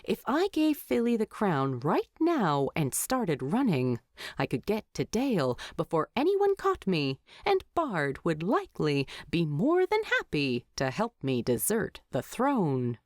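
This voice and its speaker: A woman's voice: on the low side, huge pitch swings, measured pace, a little mellow, no echo, studio quality. A woman voicing a proud male dwarf king, weary and brooding, in a storybook narration. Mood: weary, exasperated.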